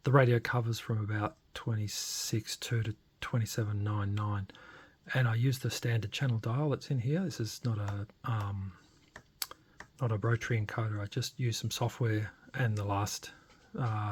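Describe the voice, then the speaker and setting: A man narrating a casual, unscripted hands-on demo, informal and halting as he thinks aloud. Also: on the low side, darkish tone, breathy edge. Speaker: hobbyist radio modifier presenting a demo.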